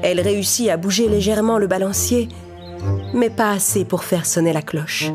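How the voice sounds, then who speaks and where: A woman's voice: medium pitch, moderate pace, over music. A woman narrator reading a scripted children's fairy tale.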